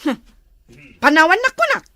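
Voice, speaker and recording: Female, very high and sharp, acting a stubborn, lazy village woman who whines defiantly in comedy. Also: big pitch swings, normal speed, exaggerated voice. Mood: whiny, defiant. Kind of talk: comedy skit dialogue in Ilocano.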